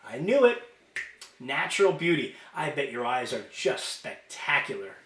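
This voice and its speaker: A man's voice: medium pitch, very animated, a little slow, a bit bright, echoey room. A man voicing a cunning, defiant female fairy officer held captive in a storybook, clipped and probing. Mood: coaxing.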